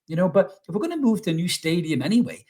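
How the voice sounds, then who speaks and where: A middle-aged man hosting a casual football fan vlog, chatty and rambling, with blunt opinions and rhetorical questions, in a slightly husky voice. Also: high voice, big pitch swings, very fast, darkish tone. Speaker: YouTube football channel host.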